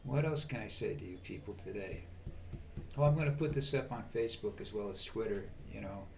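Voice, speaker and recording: Rambling older man, monotone. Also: on the low side, creaky, slight background noise. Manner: halting, many fillers and restarts. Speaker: vlog host. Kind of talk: rambling monologue.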